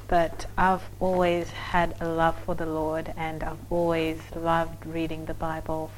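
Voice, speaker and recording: A young adult woman from Zimbabwe telling her personal faith story in a soft-spoken, casual way, with many fillers and restarts. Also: sits low, a little hiss or hum, poor audio. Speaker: church member sharing her story. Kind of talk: personal testimony.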